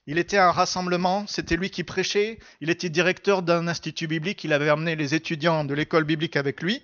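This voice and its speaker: A man's voice: a little high, flat delivery, quick pace, fairly bright tone, muffled recording. A man preaching a church sermon, telling and teaching in an earnest, emphatic way and repeating phrases for stress.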